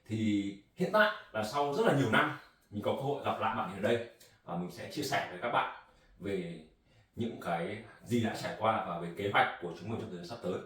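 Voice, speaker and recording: A man's voice: lowish voice, lively intonation, fast talker, fairly bright tone. A man hosting a casual podcast, a native Vietnamese speaker, talking in a loose, rambling way. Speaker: podcast host. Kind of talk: casual conversation. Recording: echoey room, mic from a distance.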